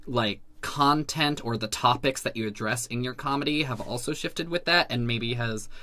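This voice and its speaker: A woman's voice: a bass voice, moderate pace, sharp tone, no echo. A woman interviewer asking casual, chatty questions, hedging with "like" and laughing often.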